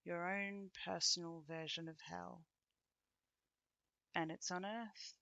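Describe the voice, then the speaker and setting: A woman's voice: fairly low pitch, fairly even intonation, vocal fry, sharp tone, dry recording, lo-fi sound, heavily acted. A woman playing a bitter, taunting young ex-girlfriend in an ASMR roleplay, speaking to the listener in a teasing, sardonic way. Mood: taunting, cold. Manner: teasing, sardonic, with dry chuckles and pointed pauses.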